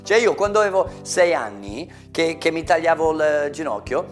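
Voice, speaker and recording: Lively english teacher guy, acts stuff out, voice jumps all over, little guitar in the back. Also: a little high, brisk. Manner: animated, acts out examples, mixes in Italian. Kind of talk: language lesson for Italians.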